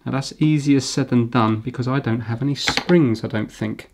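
A man's voice: mid-range voice, normal speed, background noise. A middle-aged man giving casual, informal commentary, thinking aloud as he works on a repair. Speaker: repair video host. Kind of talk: casual hands-on commentary while working.

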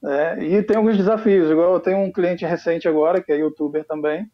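A man's voice: high-pitched, steady tone, fairly quick. A Brazilian man in his thirties talking casually as a guest on a video call, earnest and hesitant, with a Brazilian Portuguese accent. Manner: earnest, hesitant, many fillers. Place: home room with flag behind. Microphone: webcam on video call. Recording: dull lo-fi call audio.

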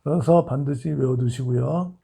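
Guy teaching english phrases, super gravelly low dark tone, slow careful delivery. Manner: reads English example sentences very slowly and deliberately. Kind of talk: English lesson explained in Korean.

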